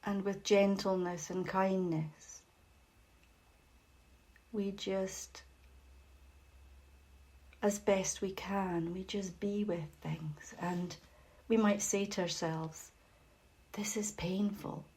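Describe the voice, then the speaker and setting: A woman leading a guided meditation as facilitator, speaking gently and without hurry, with long pauses and a soft voice that turns breathy at phrase ends. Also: medium pitch, level tone.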